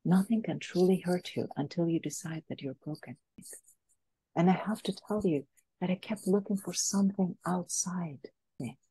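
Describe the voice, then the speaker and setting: A woman's voice: fairly low pitch, moderate pace, mellow, husky, no echo, poor audio. A woman who grew up in France, a business coach speaking as a podcast guest in an earnest, motivational way. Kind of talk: podcast interview talk. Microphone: podcast mic.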